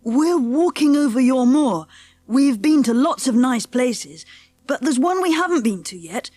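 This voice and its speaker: Woman as a confident schoolboy, lively high voice. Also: unhurried, dry recording, clean studio sound, faint music behind. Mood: keen, thoughtful. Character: confident, polite schoolboy leader, eager and decisive. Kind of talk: children's audiobook character acting.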